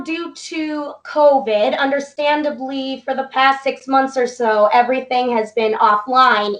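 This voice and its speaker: A high-pitched woman with a slightly hoarse voice chats eagerly and graciously as an interview guest. Her voice rings a little, as if she is talking over a call from a roomy space. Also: a bit bright. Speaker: interview guest, wrestling entertainer and actor. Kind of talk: casual interview chat.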